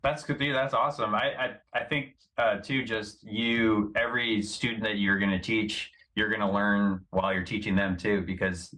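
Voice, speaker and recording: A man's voice: on the low side, fairly even intonation, moderate pace, hardly any pauses, sharp tone, rough recording. A male podcast host chatting casually and enthusiastically, calling his guest dude and man. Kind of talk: casual interview chat. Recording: faint background noise.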